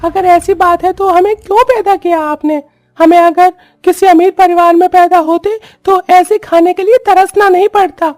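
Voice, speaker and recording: A woman's voice: extremely high pitch, fairly even intonation, normal speed, darkish tone, faint music behind, voice pushed up. A woman voicing a whiny, defiant young child in a cartoon story, complaining petulantly. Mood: petulant, angry. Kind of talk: Hindi cartoon story voice acting.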